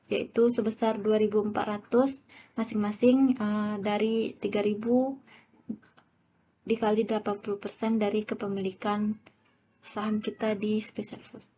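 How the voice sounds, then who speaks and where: A young woman gives an explanatory class presentation as a student, haltingly reading out figures. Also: medium pitch, a little mellow. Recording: dull lo-fi audio, clear background noise.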